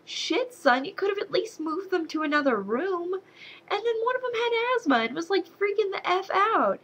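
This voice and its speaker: A woman's voice: extremely high pitch, moderate pace, poor audio. A young woman with a slight Southern accent reviewing a horror movie in a casual, sarcastic way, ranting with exasperation at the characters. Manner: sarcastic, exasperated, animated rants.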